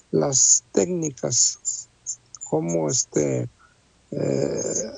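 A male radio host speaking live from a studio booth, greeting listeners with church blessings. His voice is heavily gravelly and husky, set a little high for a man. He leans on fillers as he talks. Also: dark tone, dry recording, low-quality recording. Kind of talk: live radio show hosting. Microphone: studio microphone, talking over a phone line.

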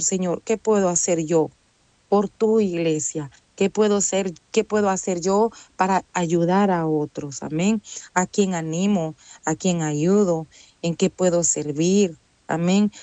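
A woman's voice: mid-range voice, dark tone, no echo. A woman preaching a sermon in an earnest, conversational way, often adding "amén" and "¿verdad?". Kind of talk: Spanish-language sermon.

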